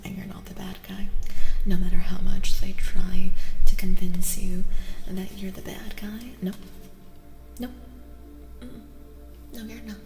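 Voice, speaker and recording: A woman doing a soft-spoken ASMR tarot reading as a streamer, breathy and often near a whisper, soothing and affirming, gently repeating her phrases. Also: medium pitch. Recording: echoey reverb with music behind. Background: music.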